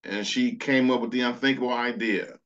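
A man's voice: mid-range voice, fairly even intonation, a little fast, fairly bright tone. Earnest preaching man, dull lo-fi sound. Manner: earnest preaching, reads scripture aloud. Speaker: pastor. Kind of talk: sermon over slides.

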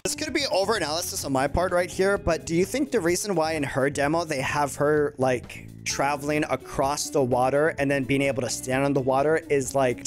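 A young adult man giving excited, slangy, effusive casual reaction commentary on a video game at his desk. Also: a little high. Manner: effusive, slangy, uses bro and dude. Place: small indoor room at a desk. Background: game trailer music.

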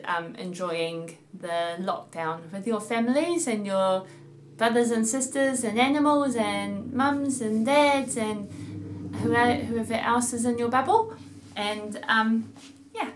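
A middle-aged woman hosting storytime for children, speaking in a deliberate, emphatic, playful storytelling style. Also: on the high side, a little hiss or hum. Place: small home living room. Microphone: camera a few feet away.

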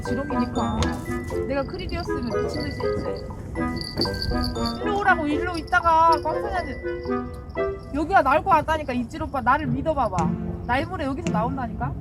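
A high-pitched woman with a Jeolla accent talks to her stream from a boat at sea. She is brash and teasing and bickers back at viewers. Loud music plays under her voice throughout. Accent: Jeolla Korean. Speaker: fishing boat captain and live streamer. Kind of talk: casual live-stream chat.

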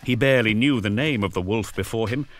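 A man giving scripted dramatic narration, grave and storytelling, with deliberate pauses. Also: fairly low pitch, a little hiss or hum.

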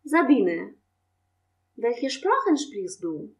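Woman, language teacher, slow careful delivery, slightly high voice. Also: very animated. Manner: reads German questions and answers slowly and clearly, explains in Russian. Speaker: German teacher. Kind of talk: language lesson, slow model dialogue.

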